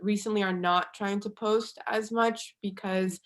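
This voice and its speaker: A woman's voice: mid-range voice, fairly even intonation, a little slow. A young woman, a university student, speaking in a casual, rambling way on a panel video call. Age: young adult. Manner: chatty, rambling, hedging.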